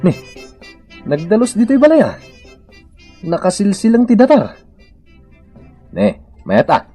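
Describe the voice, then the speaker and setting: Comedy radio role: teasing Ilocano husband, mellow slow drawl, exclamatory and astonished. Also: male voice, high-pitched, huge pitch swings, soft background music, exaggerated voice. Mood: amazed. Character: astonished, teasing husband admiring his changed wife.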